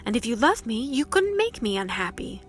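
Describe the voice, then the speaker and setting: A woman's voice: fairly high pitch, sing-song, moderate pace. A woman voicing a loving mother of small children in a storybook, tender and earnest. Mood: gentle, earnest. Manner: tender, gentle.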